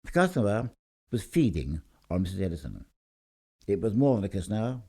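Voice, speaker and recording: Animated low-voiced man reading a story. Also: moderate pace, slightly rough. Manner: theatrical, emphatic delivery. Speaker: story reader. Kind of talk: dramatic scripted storytelling.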